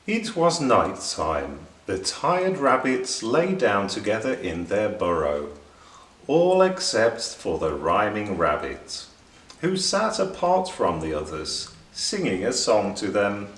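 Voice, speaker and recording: A man reading a children's story aloud in an expressive, deliberate narrating style. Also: lowish voice. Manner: deliberate, clearly phrased for children. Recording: roomy echo, distant microphone.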